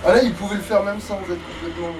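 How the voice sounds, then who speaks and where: A man's voice: high voice, fairly even intonation, fast talker, far from the mic, noisy background. A man giving casual, sweary and exasperated commentary while he plays a video game. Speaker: let's player playing the game. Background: video game music.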